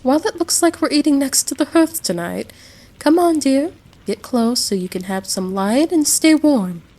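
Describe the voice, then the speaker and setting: A woman playing a caring, motherly American wife in a roleplay audio drama, gentle and reassuring, full of endearments. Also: high voice, expressive, moderate pace, a little hiss or hum.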